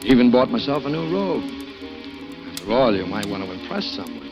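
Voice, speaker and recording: A man's voice: mid-range voice, sing-song, a little fast. An actor playing a jealous husband who narrates his plans in the first person, in a 1940s radio drama. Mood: brooding. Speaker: narrator and lead. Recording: dull old radio broadcast with music.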